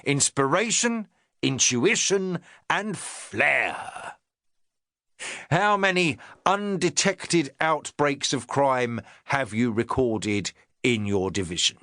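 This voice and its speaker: A man's voice: medium pitch, lively intonation, slow pace, bright, forceful tone, dry recording, studio quality, pitched above the performer's own voice, heavily acted. A man voicing a pompous, hectoring police inspector in an audiobook, talking down in jabbing phrases. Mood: lecturing. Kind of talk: audiobook character reading.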